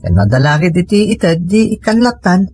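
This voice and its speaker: A man's voice: sits high, very animated, a little fast, darkish tone, husky, heavily acted. A man with a Filipino accent playing a tired working husband in an Ilocano audio drama. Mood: weary, earnest. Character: working husband, tired of family money strain.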